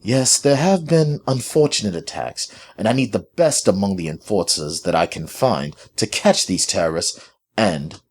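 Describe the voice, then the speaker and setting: A man voicing a polite, authoritative British mistress in a formal, measured manner for a story. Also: fairly low pitch, lively intonation. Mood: firm, polite.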